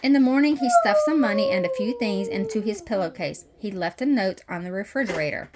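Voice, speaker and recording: A woman reading a children's picture book aloud as a storytime host, with expressive storytelling. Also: high-pitched, brisk. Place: messy playroom at home. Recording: noisy home room with clicks.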